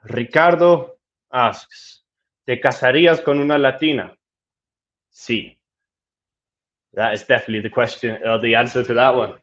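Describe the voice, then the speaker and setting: A man talks in an easygoing, chatty way, reading viewer comments aloud and answering them with small restarts. His voice sits at an ordinary middle pitch. The recording sounds a little dull and lo-fi. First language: Danish. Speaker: travel vlogger answering viewer questions. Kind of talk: casual live Q&A, switching between English and Spanish.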